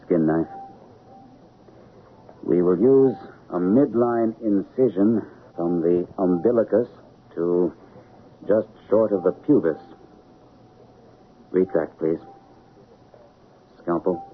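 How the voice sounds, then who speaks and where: A low-pitched, authoritative surgeon in old-time radio style. He explains formally and gives short commands with full composure. The sound is thin and band-limited, with some hiss and noise. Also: slow, pauses often. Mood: formal, instructive. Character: composed, authoritative male chief surgeon lecturing while operating. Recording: narrow, noisy old radio recording.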